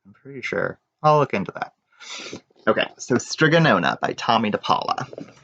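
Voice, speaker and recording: A man's voice: fairly low pitch, moderate pace, creaky. A man reading a children's picture book aloud, telling it expressively with casual asides. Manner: expressive storytelling. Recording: muffled, echoey room recording.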